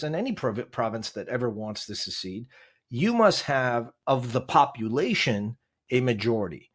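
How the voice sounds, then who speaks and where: A man, a podcast guest, explaining legal text in conversation, emphatic and quizzing the host with rhetorical questions. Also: mid-range voice.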